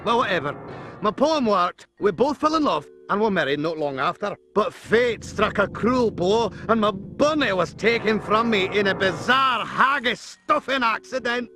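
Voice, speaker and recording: A man's voice: sits high, animated, normal speed, pushed and bright, faint music behind. A man voicing a woeful, lovelorn old Scottish ghost in a cartoon game, lamenting melodramatically. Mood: aggrieved, grumbling.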